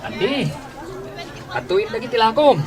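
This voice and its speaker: A man's voice: extremely high pitch, very animated, measured pace, crowd noise, heavily acted. A man playing an earnest, lovestruck young porter and student in an Ilocano radio drama. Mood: polite. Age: young adult.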